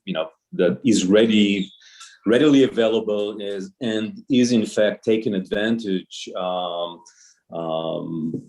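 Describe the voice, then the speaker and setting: Male audience member at an academic talk, native Hebrew speaker, low pitch, discursive and hedging. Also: a little mellow, poor audio. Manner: hedging, thinking aloud. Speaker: audience member asking a question.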